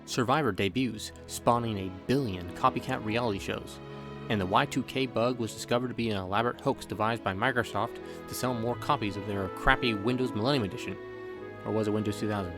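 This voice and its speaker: A man's voice: on the low side, a little fast. A man in his thirties narrating a scripted comedic video game review with exaggerated, playful delivery. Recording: background music under the voice. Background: music.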